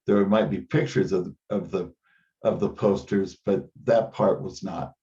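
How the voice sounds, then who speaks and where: A man's voice: low-pitched, fairly even intonation, measured pace, mellow. A man speaking informally in a meeting, often repeating a word as he goes, over conference call audio. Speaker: teleconference participant. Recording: dull band-limited conference call audio.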